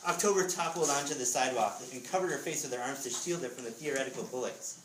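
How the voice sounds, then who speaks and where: A man's voice: medium pitch, fast talker, fairly bright tone. Man reading aloud in an echoey room. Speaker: author reading from his book at a school readers theatre. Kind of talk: expressive storybook reading with casual asides. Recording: echoey room, distant mic, background noise.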